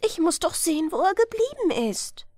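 A woman's voice: very high-pitched, big pitch swings, normal speed, a little mellow, dry recording, clean studio sound, pitched above the performer's own voice. A woman voicing a wistful, curious young fisherman's daughter in a fairy-tale audiobook, with yearning exclamations.

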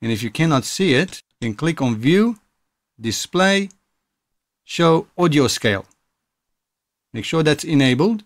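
A man's voice: on the high side, lively intonation, a little slow, pauses often, dry recording. A man presenting a music production tutorial in a casual, explanatory, informal way. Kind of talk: casual software tutorial narration.

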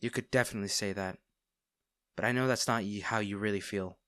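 A man acting as a smitten villain in an intimate audio roleplay, speaking directly to the listener with theatrical pauses. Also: fairly low pitch, fairly even intonation, fairly bright tone, no echo, studio quality, close to an everyday voice. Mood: teasing, smug. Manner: teasing, theatrical, pausing, addressing the listener intimately. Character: male villain, teasing, smitten captor of a hero.

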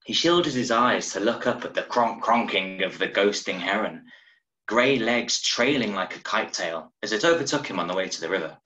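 A man in his thirties reading a short story aloud in a measured, dramatic way, recorded at home over a video call. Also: fairly low pitch, expressive, sharp tone, faint music behind. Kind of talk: expressive audiobook-style story narration. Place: small room at home, indoors. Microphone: video call from a desk, wearing earphones. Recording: roomy, echoing home webcam recording.

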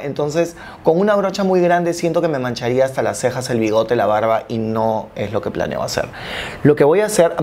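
A man's voice: fairly high pitch, a little mellow, slight background noise. A man in his early fifties with a Peruvian accent, chatting expressively and familiarly to viewers in a casual makeup review. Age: early 50s. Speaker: beauty vlogger and makeup reviewer. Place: indoor room, filmed seated in front of a plain wall. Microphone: lapel mic.

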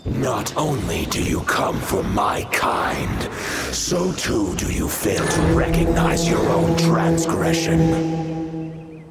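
Ancient gravelly wolf spirit king. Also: on the high side. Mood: wrathful. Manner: grand, slow, haughty formal speech. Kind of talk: fantasy game voice acting. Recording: loud music under the voice.

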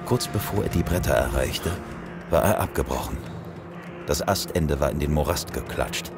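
Audio drama lead: cool police detective, extremely low, dry delivery, clear music underneath. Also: male voice, expressive, moderate pace. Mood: brooding, observant. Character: cool, defiant male police detective narrating his case. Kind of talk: first-person narration and dialogue in German audio drama.

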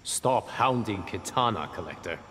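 Man as an earnest warrior monk, low voice. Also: expressive, moderate pace, natural voice. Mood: firm. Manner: earnest, firm. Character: earnest young male warrior monk, steadfast, dutiful. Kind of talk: video game fight dialogue. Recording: reverberant hall, loud game noise.

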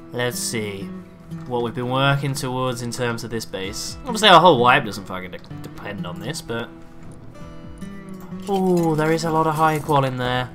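A man with a raspy throat from a cough, chatting and swearing excitedly through live gameplay commentary. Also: mid-range voice. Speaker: gaming video creator.

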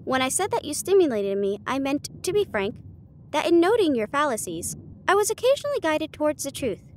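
A woman voicing a sharp, confident male detective with a precise, formal, condescending manner in an audiobook. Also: sits high, sing-song, fairly quick, slight background noise. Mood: analytical.

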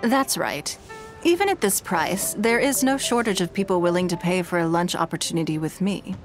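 A woman voicing a poised, shrewd businesswoman in a game, speaking in a composed, measured, elegant way. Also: fairly low pitch, expressive, soft background music, close to an everyday voice. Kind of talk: game dialogue.

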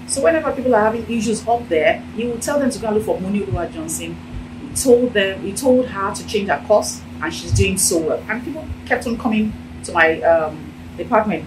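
A woman's voice: mid-range voice, a little fast, far from the mic, noisy background. Nigerian woman talking from across a room. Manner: animated, gesturing while talking. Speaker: interviewee, business owner. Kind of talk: casual interview talk. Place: indoor office with sofa. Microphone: handheld phone held out by interviewer.

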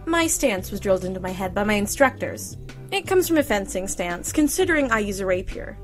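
An actress playing a poised, politely curious young huntress student who speaks formally and precisely in an audio drama. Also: female voice, mid-range voice, big pitch swings, a little fast, faint music behind, natural voice.

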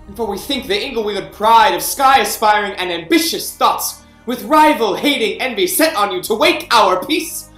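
A man's voice: high-pitched, lively intonation, moderate pace, few pauses, sharp tone, soft background music. A man playing a proud young king passing judgment, speaking Shakespeare's verse in a regal, stately way over a webcam. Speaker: actor. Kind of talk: staged Shakespeare reading. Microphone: webcam on a video call. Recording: echoey room.

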